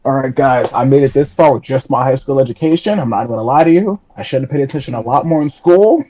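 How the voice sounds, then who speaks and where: A man's voice: mid-range voice, quick pace, dark tone, muffled recording. A man in his late twenties, a podcast guest chatting casually, joking and self-deprecating with frequent laughs. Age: 20s. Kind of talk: casual interview chat.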